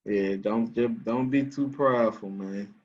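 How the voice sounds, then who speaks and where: A man's voice: on the low side, unhurried, a little mellow. A man in his twenties with a Memphis Southern accent, chatting casually and supportively as a podcast guest over a call. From Memphis, United States. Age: 20s. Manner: agreeable, supportive, slangy. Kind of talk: casual chat. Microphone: remote call. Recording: dull lo-fi call audio.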